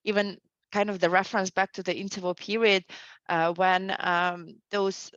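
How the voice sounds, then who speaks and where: A woman's voice: medium pitch, little pitch movement, normal speed, a bit bright, dry recording, lo-fi sound. A middle-aged woman, a guest historian, answering interview questions with many fillers and restarts.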